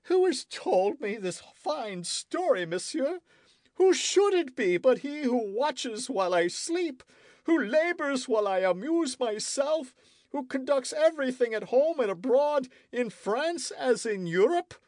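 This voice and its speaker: A man's voice: very high voice, very animated, measured pace, darkish tone, no echo, voice pushed up, exaggerated voice. A man reading an audiobook, voicing a suspicious, petulant young French king in a querulous, demanding way. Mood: indignant. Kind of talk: audiobook character voice.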